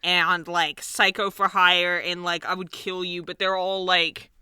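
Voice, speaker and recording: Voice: medium pitch, flat delivery, measured pace, noisy background. A person of about thirty co-hosting a podcast with casual, playful and sardonic comedic banter. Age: 30s. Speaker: podcast co-host.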